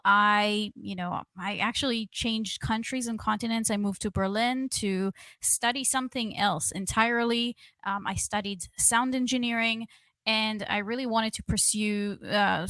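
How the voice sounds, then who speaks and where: A woman's voice: medium pitch, a bit bright, dry recording. A young adult woman from Israel speaks as an interview guest over a remote call. Her voice sits in a middle range, and she talks in a chatty, candid way full of small fillers. The recording is dry and close, with no room sound. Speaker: guest, email strategist. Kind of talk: conversational interview.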